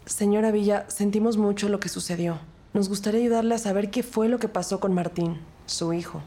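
A woman acting a composed, sympathetic police detective and narrator in an audio crime drama, measured and formal. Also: medium pitch, fairly even intonation, warm tone, natural voice. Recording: music bed behind the voice.

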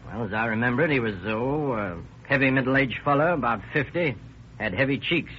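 A man's voice: medium pitch, a little fast, natural voice. A man plays a folksy officer in a vintage radio drama. He talks casually in a mid-range voice. The sound is dull and slightly noisy. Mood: casual, helpful. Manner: casual, hesitant, plainspoken. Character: folksy, easygoing male officer. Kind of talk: old-time radio drama acting. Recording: muffled, noisy old radio recording.

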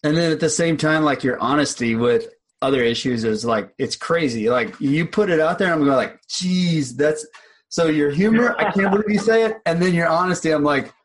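A man's voice: fairly high pitch, normal speed, no echo. Upbeat male podcast host, dry sound. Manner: enthusiastic, repeats himself, says "like" often. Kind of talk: casual podcast chat.

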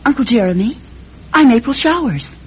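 An actress playing a coy, gorgeous young woman with a breathy voice in a radio drama. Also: fairly high pitch, lively intonation, moderate pace. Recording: muffled lo-fi radio recording.

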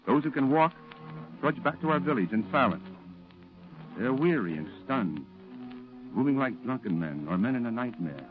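An actor playing a grave, compassionate military chaplain who narrates a war diary in measured 1940s radio drama style. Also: male voice, medium pitch, expressive, close to an everyday voice. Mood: solemn. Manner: solemn, measured delivery. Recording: tinny band-limited old radio, music behind.

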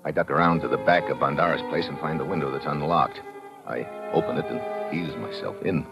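An actor with an American accent playing a tough, world-weary, wisecracking adventurer in a 1950s radio drama, terse and sardonic. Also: male voice, very deep voice, a little fast, gravelly. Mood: tense narrating. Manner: hard-boiled, terse, sardonic. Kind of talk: 1950s radio drama acting, first-person noir narration. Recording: dull vintage radio recording with music.

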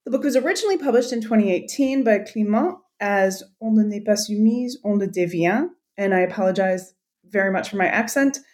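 A woman's voice: mid-range voice, expressive, brisk, darkish tone. Woman podcast host, effusive and eager. Mid-range voice. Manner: effusive, enthusiastic praise. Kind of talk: interview podcast.

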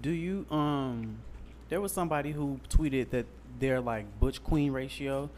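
A man co-hosting a podcast, dry and teasing in casual talk. His pitch is middling for a man, and a faint background hum sits behind him. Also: unhurried, a little mellow. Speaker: podcast co-host. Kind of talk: casual chat.

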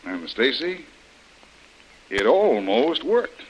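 A man's voice: medium pitch, very animated, slow, muffled recording. A man playing a shrewd, commanding adventurer hero in a vintage radio drama, explaining things emphatically. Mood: grave, emphatic. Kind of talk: 1940s radio drama acting. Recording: noisy old radio broadcast recording.

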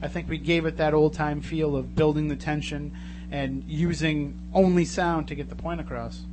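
Higher-voiced male radio host, noisy recording. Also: steady tone, moderate pace. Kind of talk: casual live radio interview. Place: radio studio. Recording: radio studio, loud noise and hum.

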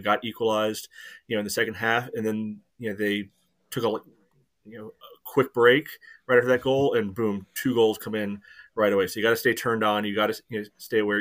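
A man giving his soccer views in a casual podcast chat, rambling and filling every pause with "you know". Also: lowish voice, fairly bright tone.

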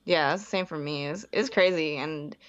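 A woman's voice: deep voice, normal speed, dull audio. A young woman from the Bay Area, a podcast guest chatting casually and saying "like" a lot. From San Francisco Bay Area. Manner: chatty, heavy use of "like", frequent self-restarts. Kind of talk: casual interview.

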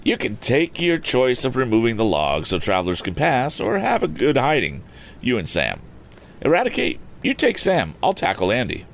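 A man voicing a bold, cool-headed teenage boy inventor who talks in a crisp, commanding way in a storybook reading. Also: medium pitch, wildly swooping pitch, moderate pace, pitched above the performer's own voice. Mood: stern. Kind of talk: audiobook character voice.

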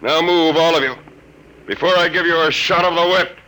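An actor playing a brusque, contemptuous imperial patrol sergeant in a 1950s radio drama, barking orders. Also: male voice, on the high side, steady tone, brisk, noisy background, lightly acted. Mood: gruff.

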